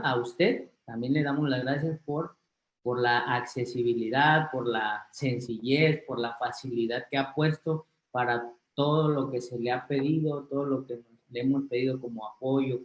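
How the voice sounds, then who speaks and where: A man's voice: medium pitch, reverberant, low-quality recording. A man moderating an online conference, introducing the speaker politely with many filler words. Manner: courteous, filler-heavy. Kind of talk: formal introduction.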